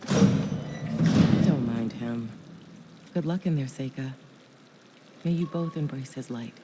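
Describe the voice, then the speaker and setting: Deep, calm gatekeeper. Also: female voice, slow, very noisy. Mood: reassuring. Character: calm, pragmatic female cult gatekeeper with authority. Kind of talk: video game voice acting.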